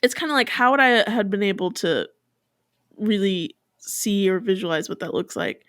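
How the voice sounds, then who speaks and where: A young woman in casual podcast chat, talking in a middle-pitched voice. She rambles with many fillers and laughs often. The sound is close and dry, with no room behind it. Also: moderate pace, fairly bright tone, dry recording. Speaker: podcast co-host.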